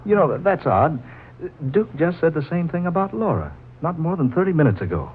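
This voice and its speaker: An actor playing a hearty, practical, joking husband with a folksy manner in a 1940s radio drama. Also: male voice, fairly high pitch, sing-song, moderate pace. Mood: intrigued. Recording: muffled, hissy old 1940s radio recording.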